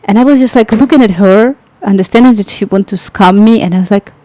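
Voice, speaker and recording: A young woman hosting a livestream, chatting casually and telling a story with lots of filler words and halting phrasing. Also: mid-range voice, warm tone, dry recording, low-quality recording. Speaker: livestream tutorial host.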